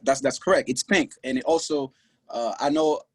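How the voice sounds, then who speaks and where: Husky young man, dry close sound. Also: medium pitch, no echo. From Haiti. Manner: earnest and proud, speaks in short halting bursts. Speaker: podcast guest. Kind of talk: casual interview talk. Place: his clothing shop.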